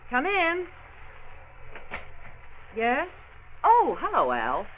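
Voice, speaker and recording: An actress playing a brisk, caring factory nurse in a 1940s radio drama. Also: female voice, sits high, wildly swooping pitch, exaggerated voice. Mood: welcoming. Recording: tinny, noisy 1940s radio recording.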